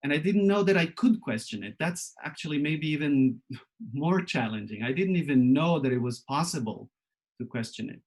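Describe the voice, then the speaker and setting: A man from Israel giving a reflective personal talk as a workshop presenter, thoughtful and searching, pausing often and restarting his sentences. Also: a little high, animated, a little mellow.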